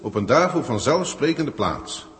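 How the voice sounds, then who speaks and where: A man's voice: mid-range voice, sing-song, a bit bright. An exasperated senior alien bureaucrat in an old Dutch radio drama, with a Netherlands Dutch accent. He is pompous and pedantic, weighing his words formally, and his voice rings in a long hall reverb. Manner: formal, pedantic, grumbling, deliberate hesitations. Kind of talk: 1960s Dutch radio play acting.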